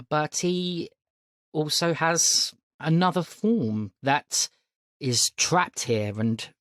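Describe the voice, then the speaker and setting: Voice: mid-range voice, dry recording. A game master voicing a composed, polite elderly woman in a tabletop game, speaking formally and calling people dear. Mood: grave, careful. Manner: formal, measured, addresses others as dear.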